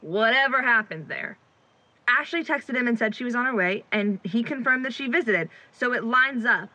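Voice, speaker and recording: A woman's voice: fairly high pitch, normal speed, sharp tone. A woman playing a firm, persuasive young exorcist in an audio drama, with an assertive, no-nonsense manner. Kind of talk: naturalistic audio drama acting.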